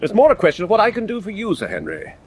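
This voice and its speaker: A brisk, self-assured hunter in a radio play. His delivery is formal and direct, with an animated, slightly raised voice. There is faint noise behind him. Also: high-pitched, full character voice. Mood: confident, persuasive. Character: confident, brisk big game hunter with a plan. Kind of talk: radio drama acting.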